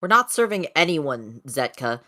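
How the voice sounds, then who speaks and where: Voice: mid-range voice, big pitch swings, normal speed, sharp tone. A person voicing an earnest robot rebel spokesman in an amateur comic dub, speaking formally and persuasively. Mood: firm.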